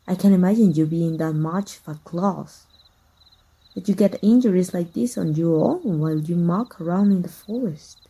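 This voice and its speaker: A woman playing a jealous, possessive villain in an intimate ASMR roleplay, soft-spoken, teasing and menacing. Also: fairly low pitch, moderate pace, breathy edge, poor audio. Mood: teasing, probing.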